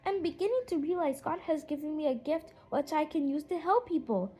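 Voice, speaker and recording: A woman voicing a gentle, helpful male eagle who speaks earnestly and unhurriedly in a children's storybook. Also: sits high, a little fast, pitched above the performer's own voice, close to an everyday voice.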